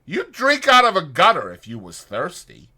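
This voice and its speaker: Man acting a sharp, nervous little man, pitched high. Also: huge pitch swings, normal speed, husky, heavily acted. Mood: wary, grumbling. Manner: sharp, exasperated, rural uneducated drawling grammar. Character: small, quick, nervous man, sharp and scolding. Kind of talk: dramatized audiobook character voice.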